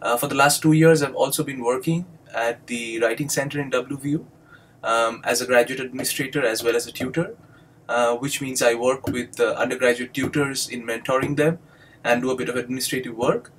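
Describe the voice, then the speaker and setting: Young Indian English man, native Bengali, measured lecture-style monologue with frequent fillers, mid pitch, faint background noise. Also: steady tone. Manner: measured and explanatory, many ums and uhs. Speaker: doctoral student and instructor. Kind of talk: recorded video monologue.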